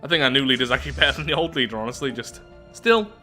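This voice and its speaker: A man's voice: medium pitch, lively intonation, rapid-fire, pushed and bright, faint music behind. A man giving casual commentary on a strategy game playthrough, thinking aloud as he goes. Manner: thinking aloud, musing to himself.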